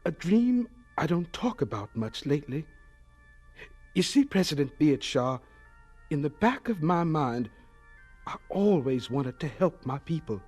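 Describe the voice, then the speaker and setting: Earnest, humble male scientist for radio drama; higher voice, very animated, halting with emotion. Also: breathy edge, faint music behind, full character voice. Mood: earnest, resolute. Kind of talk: audio drama acting.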